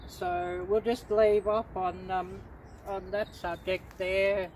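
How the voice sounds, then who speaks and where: A middle-aged woman from Australia hosting a casual livestream, chatting unhurriedly to viewers with many pauses and ums. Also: mid-range voice, level tone, darkish tone, slight background noise. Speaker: livestream host.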